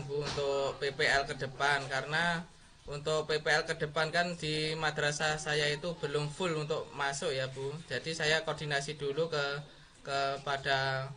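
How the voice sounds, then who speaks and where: A man's voice: on the high side, pushed and bright. Polite shy man on a video call. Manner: polite, shy, answers with frequent "Bu" and "Pak". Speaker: teacher candidate being examined. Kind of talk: online exam interview. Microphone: computer mic on video call.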